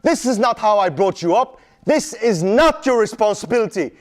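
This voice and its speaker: A man's voice: high-pitched, animated, moderate pace. A man performing a dramatic monologue as an anguished middle-aged Palestinian father, in Arabic-accented English, with emphatic repetition and pointed questions. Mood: anguished, scolding. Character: anguished Palestinian father pleading with his vengeful son.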